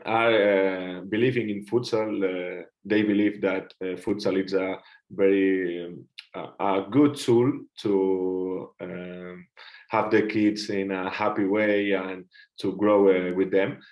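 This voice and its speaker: Halting Spanish-accented man, low voice. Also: darkish tone. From Catalonia, Spain. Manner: halting, searches for words. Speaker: guest, futsal player. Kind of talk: casual interview answers.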